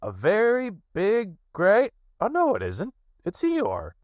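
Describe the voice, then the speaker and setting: A man voicing a gentle, simple-minded male teddy bear who speaks in a slightly raised, hesitant voice. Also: high voice, huge pitch swings, slow, no room sound, pitched above the performer's own voice, full character voice. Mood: earnest. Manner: hesitant, fumbling.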